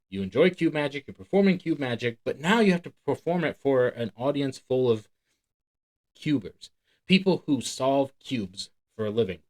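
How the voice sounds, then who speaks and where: A man hosting a channel, reading a book aloud and breaking off into casual, rambling, emphatic commentary. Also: medium pitch. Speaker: host of a magic channel.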